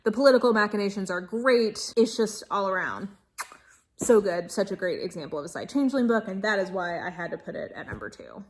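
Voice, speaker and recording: A woman's voice: medium pitch, lively intonation, brisk. A woman giving a casual video book review in a gushing, emphatic manner, stretching key words for stress. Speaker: book reviewer and channel host.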